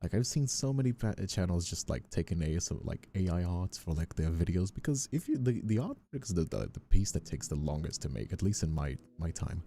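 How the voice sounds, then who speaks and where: A man's voice: low-pitched, sing-song, fairly quick, few pauses, mellow, slight background noise. A man hosting a casual, rambling livestream chat, speaking in an earnest, informal way and often repeating himself as he finds his words. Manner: hesitant, repetitive, informal, earnest. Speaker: ASMR creator and streamer hosting a chat. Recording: faint music behind the voice. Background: faint music.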